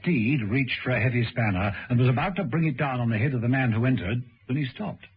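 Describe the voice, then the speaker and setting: A man's voice: medium pitch, expressive, fast, slightly rough. A man narrating a vintage radio serial in a dramatic, suspenseful scripted style. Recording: muffled old radio broadcast recording.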